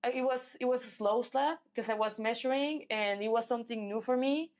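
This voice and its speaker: A woman's voice: on the high side, brisk, a little mellow. A woman from Mexico with a Mexican accent, talking casually as an athlete being interviewed. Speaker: guest, professional MMA fighter.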